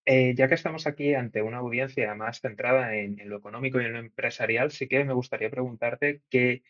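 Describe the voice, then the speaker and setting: A man hosting a podcast interview, lively and lightly joking, stumbling a little now and then. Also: mid-range voice, a little fast. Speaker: podcast host. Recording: dull lo-fi audio.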